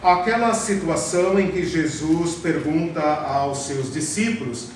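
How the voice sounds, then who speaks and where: A man's voice: on the high side, measured pace. A man with a Brazilian Portuguese accent teaching a theology class, often tagging questions onto his sentences and repeating words for emphasis. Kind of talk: classroom lecture. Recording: very echoey room, voice far from the mic, faint noise.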